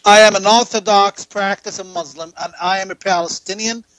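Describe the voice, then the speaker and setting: A man from Jordan, answering as an interview guest in halting phrases with repeated words. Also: high voice, steady tone, a bit bright, no echo, rough recording. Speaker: interview guest, political activist and writer.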